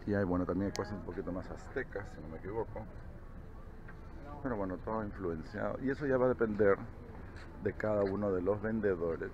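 A man's voice: lowish voice, dull audio, a little hiss or hum. A man in his forties with a Peruvian accent, chatting casually as a travel vlogger, laughing often and tagging phrases with little questions. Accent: Peruvian Spanish. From Peru. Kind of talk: casual travel vlog narration. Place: outdoor crafts market. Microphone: camera held at arm's length.

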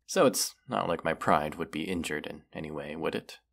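A man's voice: rumbling low, creaky, fairly bright tone, heavily acted. A man voicing a proud, mighty dragon in a fantasy roleplay, haughty and formal in manner. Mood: haughty, guarded. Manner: haughty, deliberate, formal phrasing with menacing undertone. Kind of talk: fantasy roleplay audio acting.

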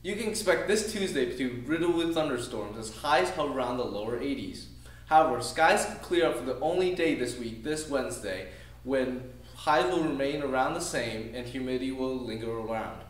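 A man in his twenties presenting a scripted weather report in a formal, measured reading. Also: mid-range voice, animated. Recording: echoey room, distant mic.

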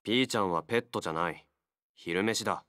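An actor playing a wary, feral young man in a game, a gruff loner fighter with blunt, halting speech. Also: lowish voice, a bit bright, slight background noise, lightly acted. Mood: subdued, earnest. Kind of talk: Japanese game character voice acting.

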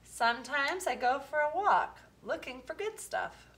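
A woman reading a children's picture book aloud, with expressive, deliberate phrasing. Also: high-pitched. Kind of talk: storybook read-aloud for children.

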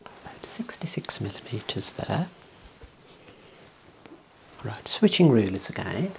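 Whispering man, slow and hushed ASMR. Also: medium pitch, very animated, gravelly, low-quality recording. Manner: hushed, unhurried, reassuring clinical instructions. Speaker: ASMR performer role-playing an optician. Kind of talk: ASMR roleplay, soft whispering. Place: small indoor room. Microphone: clip-on wireless mic on lapel, close.

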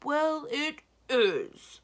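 A woman voicing a big, gruff, grumbling giant husband in a storybook, stubborn and booming. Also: big pitch swings, unhurried, a bit bright, heavily acted. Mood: stubborn protest. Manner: stubborn, blustering.

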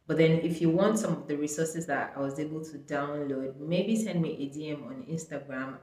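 A woman's voice: extremely low pitch, dark tone, slight background noise. A young woman talks casually to camera, filling her sentences with "like" and "um". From Nigeria. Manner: chatty, sprinkled with "like" and "um". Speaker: vlogger. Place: small bright room indoors. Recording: echoey room.

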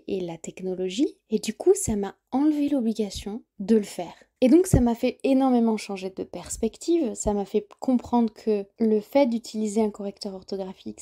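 Female French podcaster, warm relaxed tone, candid rambling solo talk. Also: medium pitch. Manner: thinking aloud, many fillers and restarts. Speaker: podcast host.

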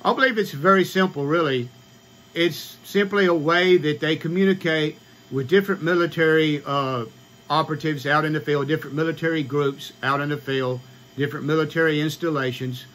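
A man in his sixties with an American accent, explaining informally to camera in a halting, matter-of-fact way. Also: on the high side, fairly even intonation, fairly bright tone, a little hiss or hum. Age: 60s. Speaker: ham radio vlogger. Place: small home radio room, indoors.

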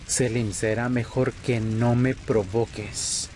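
A man playing a cold, embittered vampire in an amateur audio roleplay, menacing and deliberate in his delivery. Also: medium pitch, steady tone, warm tone, slight background noise, natural voice. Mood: cold, threatening. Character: cold, threatening male vampire, embittered former friend.

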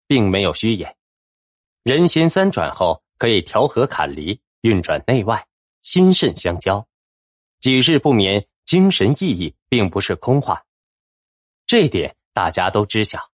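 A man's voice: on the low side, no room sound, clean studio sound. A man narrating a fantasy novel in a dramatic, scripted storytelling style. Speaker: audiobook narrator.

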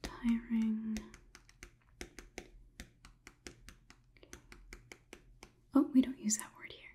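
A young woman in her twenties playing a politely condescending female robot overlord in a slow, measured, breathy ASMR whisper, close to the microphone. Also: on the high side, level tone, dark tone. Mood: polite, probing. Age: 20s. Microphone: close sensitive microphone.

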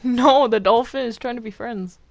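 A woman's voice: fairly high pitch, normal speed. A young woman chatting and bantering casually with friends while playing a video game. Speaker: player in a group gaming video.

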